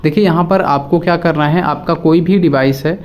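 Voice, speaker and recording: A man's voice: a little high, fairly quick, darkish tone. A man presenting a casual step-by-step phone app tutorial, addressing viewers directly and often repeating his instructions.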